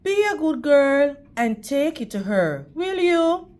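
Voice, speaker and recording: A woman acts out a storybook mother, caring yet firm as she instructs her child. The delivery is unhurried, with lively rises and falls. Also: sits high, pitched above the performer's own voice, exaggerated voice. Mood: kindly. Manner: gently instructing.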